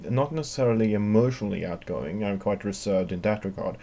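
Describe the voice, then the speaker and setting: A man's voice: on the low side, fairly quick, mellow. A man with a Swedish accent answering viewer questions alone in a dry, wry, wordy monologue. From Sweden. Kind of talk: solo Q&A monologue.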